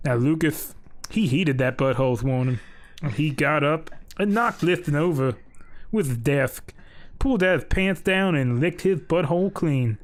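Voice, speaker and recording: Casting: male actor as jolly, crude Southern bedtime storyteller, higher-than-average pitch, rambling homespun delivery. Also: a little slow, lightly acted. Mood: folksy, crude. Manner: folksy, ungrammatical, rambling asides. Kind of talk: mock children's bedtime story narration.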